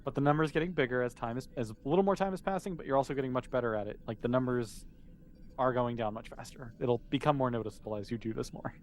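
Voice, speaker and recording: A man's voice: mid-range voice, fast talker, a little hiss or hum. A man casually narrating a tabletop game as game master, explaining in an easygoing, conversational way.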